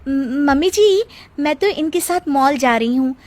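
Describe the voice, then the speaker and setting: A woman's voice: sits high, lively intonation, a little slow, a little mellow, dry recording. A woman voicing a polite, evasive young daughter-in-law in a family story.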